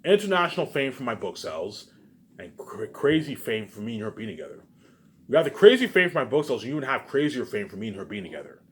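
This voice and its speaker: A man giving a rambling, unscripted, repetitive monologue to viewers, emphatic, with loud bursts that drop into muttered asides. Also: medium pitch, sing-song, fast. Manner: repetitive, circling back to same phrases. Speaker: online video maker.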